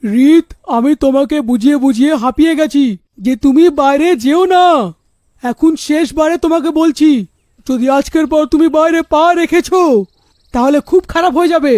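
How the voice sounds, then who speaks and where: Woman as a loud, scolding, high-voiced father. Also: moderate pace, no echo, clean studio sound, close to an everyday voice. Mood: angry, scolding. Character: stern, angry, controlling middle-aged father. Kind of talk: children's cartoon dialogue.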